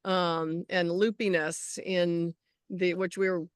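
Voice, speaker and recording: Middle aged lady chatting spiritual stuff, smooth clear voice, rambles and hunts for words, very dry sound. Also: fairly low pitch, flat delivery, no room sound. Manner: rambling, halting, searching for words. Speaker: co-host, energy healer. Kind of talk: casual spiritual chat.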